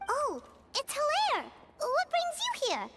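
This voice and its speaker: Squeaky, very high little fairy girl. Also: wildly swooping pitch, slow, exaggerated voice. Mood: surprised. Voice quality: squeaky, childlike. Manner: exclamatory. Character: small excitable floating fairy girl companion, childlike, indignant. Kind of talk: video game voice acting. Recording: noisy game audio with reverb.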